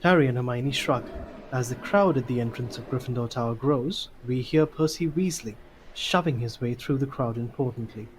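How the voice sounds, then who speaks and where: A man's voice: mid-range voice, normal speed, slight background noise, low-quality recording. A man reading scripted story narration for an audio drama.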